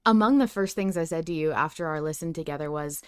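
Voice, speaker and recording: A woman's voice: deep voice, fast, a crowd in the background. A woman co-hosting a podcast, talking casually and emphatically and swearing freely as she discusses a story. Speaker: podcast co-host. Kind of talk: casual fan discussion chat.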